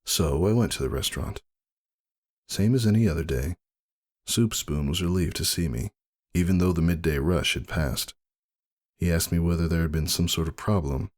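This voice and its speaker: A man giving a dramatic first-person story reading as narrator, husky and brooding in manner. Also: sits low, a little mellow. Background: faint music.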